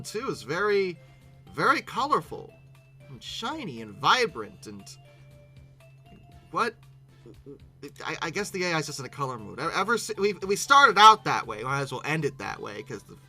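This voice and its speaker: A bright, forceful voice with very lively, swinging intonation, hosting a casual reaction video. The speaker is bemused and humorous, stumbling and repeating words while exclaiming, with music in the background. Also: medium pitch. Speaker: YouTube host.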